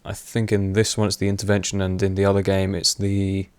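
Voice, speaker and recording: A young man with a fairly low voice talks over his gameplay in a loose, rambling way. He pauses on fillers and laughs often, and his voice is wearing hoarse. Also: completely flat delivery, darkish tone, dry recording. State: voice going hoarse. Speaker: gaming commentator. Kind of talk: casual gameplay commentary.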